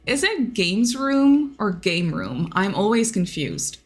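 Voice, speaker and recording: A woman's voice: mid-range voice, big pitch swings, slow. A young woman whose first language is Dutch, chatting casually in a voice-over about a building game, with lots of fillers and repeated words. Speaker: Sims builder YouTuber. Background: soft background music.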